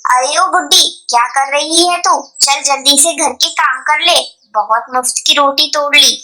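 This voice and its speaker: A woman's voice: high voice, a little slow, sharp tone, poor audio. A woman voicing a cunning, brazen young female bird in a cartoon story, with a sly manner. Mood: rude, threatening.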